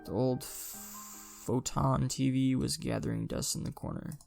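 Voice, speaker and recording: A man with a creaky, gravelly voice reading a visual novel aloud as a game streamer, with casual, sweary asides. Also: mid-range voice, steady tone, unhurried, a little mellow. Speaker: gaming streamer doing a longplay. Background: game music.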